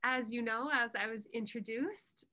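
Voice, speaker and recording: A woman's voice: a little high, sharp tone. A woman with a Canadian accent, a pronunciation coach giving an encouraging online workshop lesson over a video call. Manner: encouraging, unhurried teacher.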